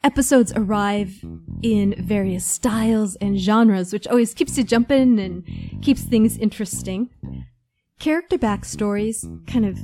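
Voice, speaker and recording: Mellow-voiced woman reading a prepared review. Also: mid-range voice. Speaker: listener reviewing favourite audio dramas. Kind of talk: scripted review narration. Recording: guitar music behind. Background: faint plucked guitar music.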